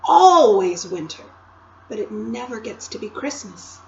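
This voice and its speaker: A woman reading aloud, voicing an eager, cheerful young girl who talks high and breathlessly. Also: sing-song, a little slow, gravelly, lo-fi sound, full character voice. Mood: excited.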